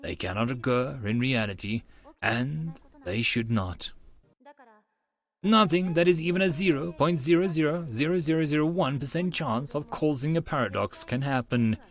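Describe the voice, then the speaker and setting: A man's voice: fairly high pitch, moderate pace, natural voice. A man voicing a composed, brilliant young woman scientist who lectures precisely and firmly in an audiobook. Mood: emphatic, lecturing. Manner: precise, firm, didactic.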